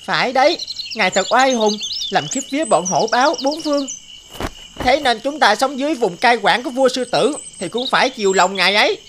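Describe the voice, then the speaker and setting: A woman's voice: fairly high pitch, expressive, fairly quick, background noise, natural voice. A woman voicing grumbling, sly forest animals in a children's cartoon, over music. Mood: deferential, sly.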